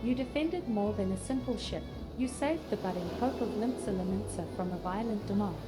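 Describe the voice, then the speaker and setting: A woman's voice: mid-range voice, a little fast, loud music behind the voice, lightly acted. A synthetic-sounding woman voicing a formal, dutiful dockyard officer in a fantasy game, with stately archaic phrasing. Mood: formal, composed. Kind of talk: scripted fantasy game dialogue read by text-to-speech.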